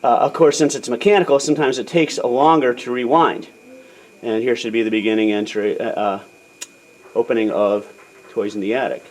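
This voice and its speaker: A man's voice: mid-range voice, steady tone, a little fast, darkish tone. An American man giving a casual hands-on demonstration as an instructor, explaining plainly in small steps and checking in as he goes.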